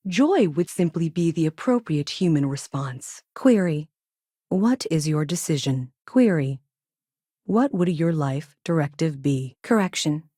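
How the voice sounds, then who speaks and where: Low-voiced, deadpan female AI. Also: husky, dry recording, studio quality, exaggerated voice. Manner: clipped, formal, deadpan, announces each statement type first. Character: emotionless, logical, self-aware female AI space probe. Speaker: video game character. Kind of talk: scripted game dialogue.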